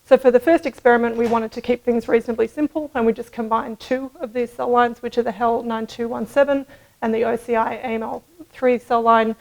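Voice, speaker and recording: A middle-aged woman giving a scientific conference talk at a podium microphone, explaining in a measured way with some hedging. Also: a little high, fairly even intonation, gravelly, a little mellow, poor audio. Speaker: medical researcher presenting at a conference. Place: indoor conference room, at a lectern.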